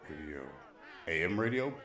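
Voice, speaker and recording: Deep, grave male apocalypse survivor played by an actor. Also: lively intonation, moderate pace, fairly bright tone. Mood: intent. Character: grave, weary apocalypse survivor leader, adult man. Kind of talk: audio drama acting. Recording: roomy echo, faint dramatic music behind.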